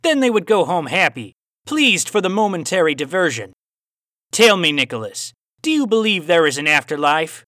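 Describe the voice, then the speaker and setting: A theatrical phantom cowboy villain from an audiobook, Australian with a hint of twang. The voice is raised, bright and forceful, and very animated. He puts formal, taunting questions with deliberate menace. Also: on the high side, no room sound, studio quality, voice pushed up. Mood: sinister musing. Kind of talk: audiobook character voice.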